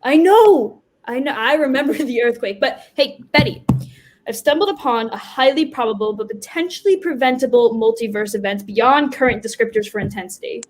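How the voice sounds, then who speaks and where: A woman's voice: on the high side, expressive, normal speed, a little hiss or hum, close to an everyday voice. A woman actor in a staged play reading, playing Death as an exasperated, reluctant office worker with an emphatic, conversational delivery. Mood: earnest. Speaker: actor in a community theater reading.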